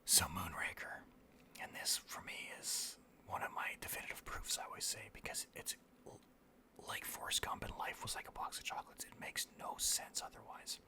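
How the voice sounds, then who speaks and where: A video host speaking in a breathy whisper, rambling casually through anecdotes with some swearing. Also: moderate pace.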